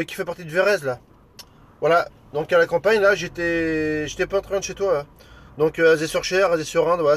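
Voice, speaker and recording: A man from France working as an amateur radio operator, making radio calls and chatting in a friendly, informal, hesitant way. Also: fairly high pitch. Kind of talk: radio calls and casual chat.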